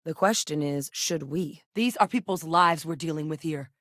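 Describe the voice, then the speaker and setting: An actress playing a serious, driven military scientist in a sci-fi video game, speaking in a measured, earnest, dryly wry manner. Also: female voice, sits low, a bit bright, no room sound, clean studio sound. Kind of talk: acted sci-fi game dialogue.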